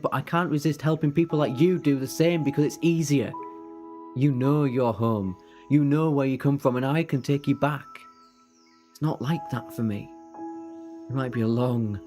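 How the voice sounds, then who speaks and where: A man's voice: mid-range voice, moderate pace, a little mellow, faint music behind, natural voice. A man playing an eager, ageless time-travelling adventurer in an audio drama, earnest and persuasive with flippant asides. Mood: wistful, confessional. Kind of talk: fan audio drama acting.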